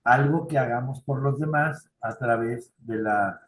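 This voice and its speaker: A man's voice: mid-range voice, measured pace, echoey room, a little hiss or hum, rough recording. A middle-aged man with a Mexican Spanish accent leading a youth ministry training session, talking conversationally with frequent tag questions and personal anecdotes. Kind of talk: online formation talk. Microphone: video call.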